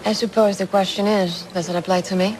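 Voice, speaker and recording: An actress playing a cool, shrewd insurance investigator in a crime drama, composed, articulate and gently barbed. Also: female voice, mid-range voice, fairly even intonation, brisk, lightly acted, poor audio. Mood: pointed.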